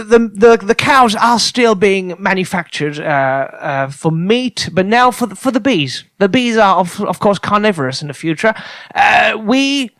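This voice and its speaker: Male actor as polite future traveler, high voice, swooping intonation, halting, apologetic, formal, comedy podcast. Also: fairly bright tone, dry recording. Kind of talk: improvised comedy podcast in character.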